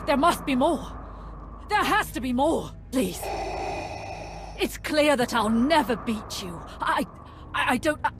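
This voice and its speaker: An actress playing a proud, obsessive Sith rival in a video game, with an intense, theatrical delivery. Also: female voice, a little high, noisy background. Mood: pleading, broken. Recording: loud music under the voice.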